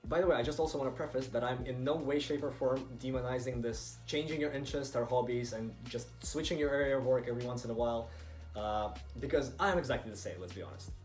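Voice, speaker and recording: A young man from Estonia narrates a motivational video at a brisk clip. His delivery is earnest and emphatic, and faint music plays behind him. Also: medium pitch, a little mellow. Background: background music.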